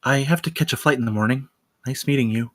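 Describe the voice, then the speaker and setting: A woman acting a cool and detached female traveler in a film script read. She is brisk and composed, with a dry, close sound. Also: extremely low pitch, no echo. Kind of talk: screenplay table read.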